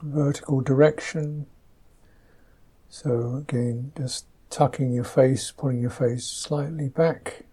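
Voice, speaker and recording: A man teaching a guided meditation, speaking softly and gently in unhurried, halting phrases, with a slightly husky voice. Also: medium pitch, steady tone.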